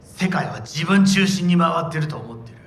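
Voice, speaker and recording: An actor playing a magnate's resentful, accusing grown son in a video game. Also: male voice, animated, quick pace, fairly bright tone, distant mic. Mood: bitter, defiant. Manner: accusing, bitter. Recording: roomy echo, faint rumble.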